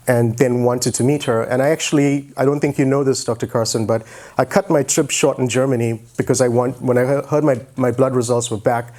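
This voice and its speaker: A man's voice: medium pitch, darkish tone. A man in his forties telling his own story as a guest speaker, in an informal, joking, conversational way into a handheld microphone on stage. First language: English. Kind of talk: informal motivational talk on stage. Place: stage in an auditorium, indoors.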